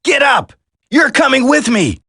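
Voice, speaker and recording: A man's voice: very high voice, very animated, measured pace, vocal fry, bright, forceful tone, slightly rough, no room sound, studio quality, heavily acted. A man voicing an earnest special forces soldier in a video game, dramatic and urgent. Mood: anguished. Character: earnest male special forces soldier, determined, worried for comrades.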